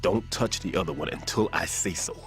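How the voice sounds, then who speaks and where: A man playing a weary, earnest older bomb disposal expert in a video game, speaking gravely and precisely. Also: deep voice, fairly quick, a bit bright. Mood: focused, grave. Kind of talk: dramatic video game voice acting. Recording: dramatic music under the voice.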